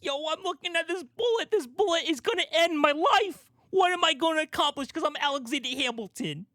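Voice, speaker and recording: A man's voice: very high-pitched, animated, fairly quick, pushed and bright, no room sound, studio quality, voice pushed up, exaggerated voice. A man voicing a melodramatic dying duelist who laments his legacy in an overwrought, self-pitying way. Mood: anguished lament.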